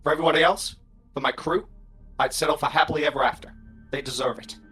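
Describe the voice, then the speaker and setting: A man voicing an easygoing, wistful robot crew leader in a scripted audio drama, with a husky voice. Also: on the high side, fast talker, sharp tone, faint music behind.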